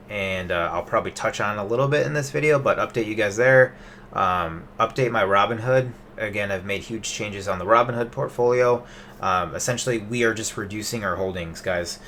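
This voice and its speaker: Chatty young man, bright forceful tone. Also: fairly low pitch, a little hiss or hum. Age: 20s. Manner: loose and chatty, many fillers and restarts. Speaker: investing vlogger. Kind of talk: casual rambling webcam update. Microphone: webcam.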